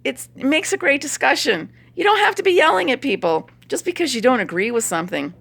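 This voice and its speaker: A middle-aged woman chiropractor with a hoarse voice and a stuffy nose, chatting opinionatedly to a phone camera and laughing as she talks. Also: high-pitched, expressive, brisk, a bit bright. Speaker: chiropractor vlogger. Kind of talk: casual talk to camera.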